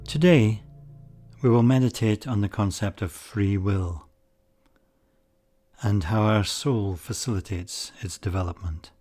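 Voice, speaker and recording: A man with a fairly low voice leads a guided meditation. He gives spoken instructions in short, deliberate phrases, pausing between them in a measured way. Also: a little mellow, faint music behind.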